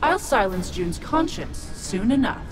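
A woman's voice: medium pitch, very animated, a little slow, over loud music, low-quality recording. A woman voicing an ancient, wicked demon witch in a video game, taunting and haughty. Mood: haughty threat. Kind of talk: video game villain acting.

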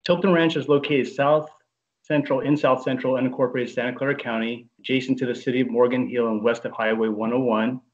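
A man's voice: medium pitch, fairly even intonation, a little fast. Man reading a formal project briefing, dull lo-fi video call sound. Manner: formal, reads from a script. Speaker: staff presenter. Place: remote video meeting.

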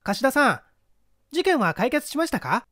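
A woman's voice: high-pitched, sing-song, moderate pace, a bit bright, no room sound, studio quality. A woman playing a clever, composed teenage boy detective, polite and self-assured, in an audio mystery story. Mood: calm, probing.

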